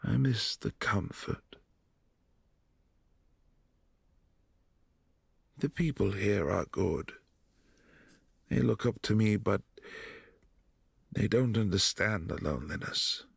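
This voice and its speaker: Guy doing a brooding old vampire prince, super low and gravelly, soft and close up. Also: expressive, no echo, full character voice. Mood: lonely. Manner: soft-spoken, intimate, halting. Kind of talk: ASMR boyfriend roleplay.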